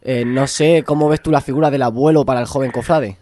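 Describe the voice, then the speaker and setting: A man's voice: medium pitch, steady tone, quick pace, mellow, slight background noise. Effusive radio interviewer, man from Spain. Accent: Spanish (Spain). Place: radio studio.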